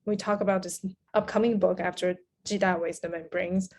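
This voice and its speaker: A woman's voice: fairly low pitch, fairly even intonation, normal speed, a little mellow, a little hiss or hum. A woman from Taiwan, a native Mandarin speaker, talking in English as a guest in a casual interview. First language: Mandarin Chinese. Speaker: podcast guest.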